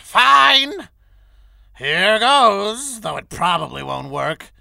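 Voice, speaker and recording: A performer voicing a small, fussy audio-drama villain with a gravelly, bright-edged voice. Drawls slowly and dramatically, swinging into big outbursts, recorded dry and close. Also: medium pitch, big pitch swings, no echo, heavily acted. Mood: grudging. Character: small frustrated theatrical schemer villain.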